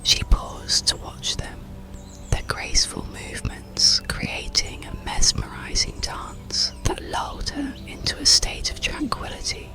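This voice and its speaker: A storyteller narrating an ASMR bedtime story in a soothing, deliberate whisper. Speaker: audiobook storyteller.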